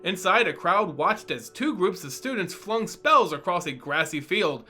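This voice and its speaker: A man giving an animated, expressive dramatic reading of a fantasy story as narrator. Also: high voice, moderate pace, faint music behind. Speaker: narrator and reader.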